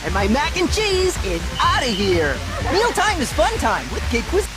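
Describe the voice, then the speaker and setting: A woman's voice: high-pitched, huge pitch swings, moderate pace. A woman speaking scripted lines in a food commercial. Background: loud music.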